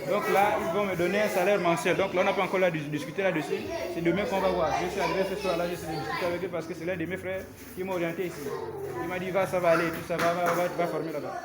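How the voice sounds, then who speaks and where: Halting male coach in a noisy dojo. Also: high voice. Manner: halting, repeats words, calls out to followers. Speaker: self-defense coach. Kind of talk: casual vlog to followers. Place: karate dojo, indoors. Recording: loud crowd noise behind. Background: crowd of young students.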